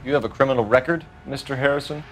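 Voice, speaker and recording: An actor with an American accent playing a stern, principled young 1940s police detective who speaks in a clipped, authoritative way. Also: male voice, medium pitch, quick pace, slight background noise, lightly acted, poor audio. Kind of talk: dramatic game cutscene acting.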